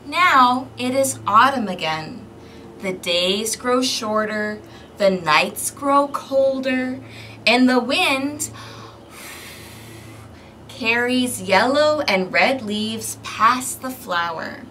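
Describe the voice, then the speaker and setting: Female children's storytime host, 30s. Bright, forward tone, animated and deliberate delivery, outdoor recording off a distant mic. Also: on the high side. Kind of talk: interactive picture-book read-aloud for young children. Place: outdoors on an apartment balcony. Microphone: camera microphone a few feet away. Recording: outdoor balcony, distant mic, ambient noise.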